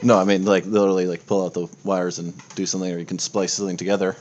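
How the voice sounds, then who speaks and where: A man's voice: deep voice, level tone, racing, a little mellow, lo-fi sound. A man narrating a tabletop game casually as game master, with informal, sweary phrasing.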